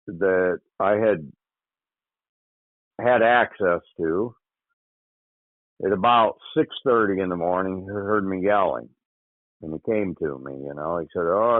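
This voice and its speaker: Michigan man, low voice, halting storyteller, tinny phone-call sound. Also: dry recording. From Michigan, USA. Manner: halting, with frequent pauses and "you know". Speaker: interview guest recounting a hunting accident. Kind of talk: casual spoken recollection. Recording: tinny phone line, dry.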